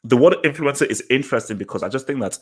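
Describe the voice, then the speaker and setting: A man's voice: medium pitch, animated, racing, slightly rough. A man from Nigeria with a Nigerian accent, chatting as a podcast host, circling and repeating words to stress his point. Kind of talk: casual discussion podcast chat.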